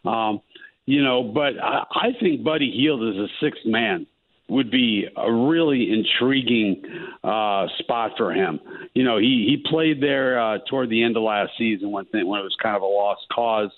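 Man on a tinny phone line, halting. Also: mid-range voice, no echo. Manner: halting, many fillers and restarts. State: losing his voice. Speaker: columnist guest. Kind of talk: casual sports talk.

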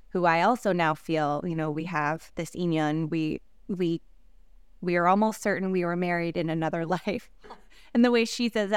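A woman, a native Korean speaker, talking reflectively as an actress in an interview, halting with fillers and soft laughs. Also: mid-range voice, clean tone, dry recording, studio quality.

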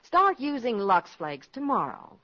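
A woman's voice: fairly high pitch, big pitch swings, measured pace, fairly bright tone, lo-fi sound. A woman reading a scripted 1950s radio commercial on a vintage recording. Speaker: commercial spokeswoman. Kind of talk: 1950s radio advertising read.

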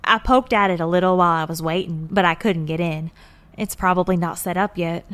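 A woman's voice: fairly low pitch, a little fast, fairly bright tone, lightly acted. A woman acting in an audio drama as a folksy, wry, plain-spoken moonbase worker. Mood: wry, calm. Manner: folksy, drawling exclamations, wry.

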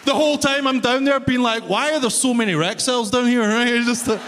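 A man's voice: very high voice, steady tone, brisk. A Scottish man from Glasgow with a Glaswegian accent doing a wry, self-mocking stand-up set in a big concert hall, checking in with the crowd. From Scotland. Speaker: stand-up comedian. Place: large concert hall stage, audience laughing. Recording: live hall reverb, faint room noise.

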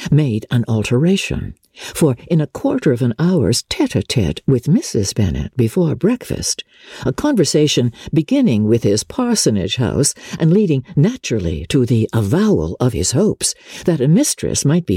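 A woman narrating a classic novel as a dramatic reader, in a measured, theatrical storytelling manner. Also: extremely low pitch, dark tone, breathy edge, dry recording, studio quality. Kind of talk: expressive scripted audiobook narration of a classic novel.

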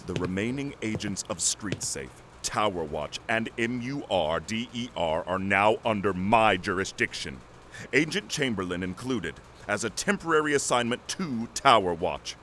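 A man's voice: fairly low pitch, lively intonation, normal speed, sharp tone, slight background noise. A man playing a smug, officious company agent, a villain who speaks with formal, menacing politeness and bureaucratic precision. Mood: commanding.

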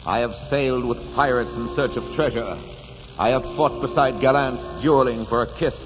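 A man's voice: medium pitch, moderate pace. A man giving scripted 1940s radio narration as an announcer, dramatic and grandiose. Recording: dull, noisy 1940s radio recording, long reverb.

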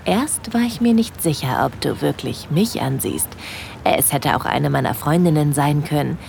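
A woman playing a shy, smitten young holidaymaker in an intimate first-person audio drama, breathy, confiding and easily flustered. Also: deep voice, lively intonation, moderate pace, darkish tone, slight background noise, full character voice. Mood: dreamy, infatuated.

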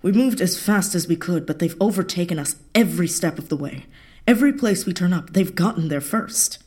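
A woman playing a composed, deductive intelligence officer in an audio drama, measured and matter-of-fact. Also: fairly low pitch, lively intonation, a little mellow, breathy edge.

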